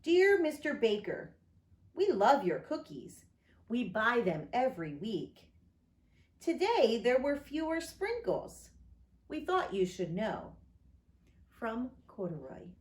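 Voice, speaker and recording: A small storybook teddy bear, played by a woman, polite and eager to help. It speaks at an easy, slowish pace with animated rises and falls, in an echoing room. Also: fairly high pitch. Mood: politely earnest. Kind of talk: children's picture book read aloud.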